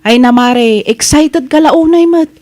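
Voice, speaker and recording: A woman playing a composed, playfully secretive village woman in a comedy radio drama, with a Filipino accent and a teasing, unhurried manner. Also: high voice, warm tone, no room sound.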